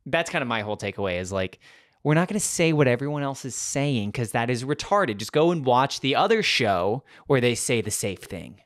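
A man's voice: medium pitch, big pitch swings, brisk, no room sound, clean studio sound. A man co-hosting a comedy podcast in casual, joking chat, laughing often. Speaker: podcast co-host.

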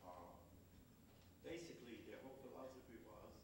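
An older man from Eastern Europe speaks from an audience without a microphone. He sounds distant in a roomy hall, and a steady background noise sits over him. He talks in an emphatic, halting way. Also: medium pitch. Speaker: audience member. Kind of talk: audience question at a panel. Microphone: no microphone, far off.

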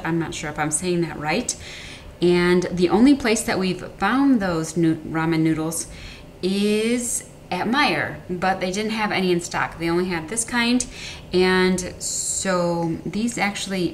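A woman's voice: fairly low pitch, slight background noise. An American woman narrating a casual home vlog in a conversational way, often repeating and rephrasing herself. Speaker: vlogger. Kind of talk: casual haul vlog narration over close-ups. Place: indoors at home.

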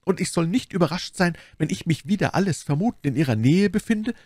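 A man's voice: sits high, animated, fairly quick, a little mellow, dry recording, studio quality, pitched above the performer's own voice. A man voicing a scheming, effusive noblewoman in a dramatic audiobook. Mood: passionate, reproachful. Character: scheming adult noblewoman, false, intense, gushing.

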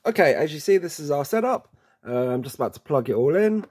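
A man's voice: fairly high pitch, sing-song, a little fast, a little mellow, slightly rough. A man presenting an informal instructional setup video, talking the viewer through it step by step and addressing them as guys. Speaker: presenter of product setup tutorials.

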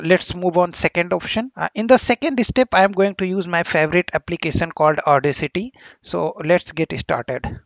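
A man hosting an informal software tutorial voice-over, speaking haltingly with very frequent hesitations and repeated words. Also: fairly high pitch, creaky, no echo, highs cut off. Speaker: tutorial host. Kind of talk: informal screen-recorded software tutorial narration.

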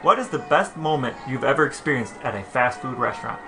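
A man's voice: mid-range voice, animated, brisk, gravelly, over music. A man co-hosting a podcast, chatting casually and telling personal stories. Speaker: podcast host. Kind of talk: casual conversational podcast talk.

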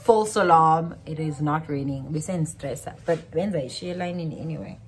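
A young woman with a South African Xhosa accent, talking in a slightly low voice. She rambles casually and switches between languages. She speaks into a phone held at arm's length in a small bedroom. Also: moderate pace, faint music behind. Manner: rambling, code-switching, with soft laughs. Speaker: vlogger. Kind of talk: casual vlog chat. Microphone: phone camera at arm's length.

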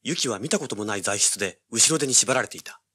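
An actor playing a cocky, tough young treasure hunter, rough-spoken and sardonic, narrating and speaking in a hard-boiled audio drama. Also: male voice, on the low side, expressive, fast talker, bright, forceful tone, full character voice. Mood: tense, grim. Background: dramatic music.

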